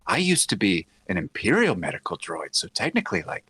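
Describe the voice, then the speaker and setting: Animated man in casual podcast banter. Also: low voice, a little fast, slightly rough. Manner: animated, acts out mock dialogue mid-sentence. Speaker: podcast co-host or guest. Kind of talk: casual chat, joking pop-culture discussion.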